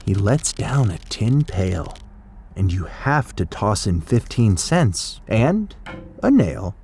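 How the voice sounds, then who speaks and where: A man narrating a children's storybook in an expressive, theatrical way. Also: mid-range voice, gravelly, a little hiss or hum. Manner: dramatic, theatrical.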